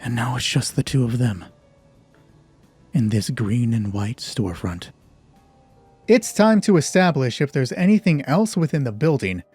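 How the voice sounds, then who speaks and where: Guy running a solo horror rpg, slow husky voice, short phrases, music behind him. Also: mid-range voice, expressive, a little mellow, dry recording. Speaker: solo roleplay game master and narrator. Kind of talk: narrating a solo tabletop horror roleplay.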